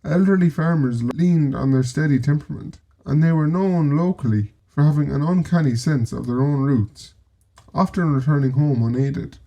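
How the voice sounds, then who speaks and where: Mellow Irish man narrating history. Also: fairly high pitch, muffled recording. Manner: measured storytelling with wry asides. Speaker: presenter. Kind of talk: scripted documentary narration.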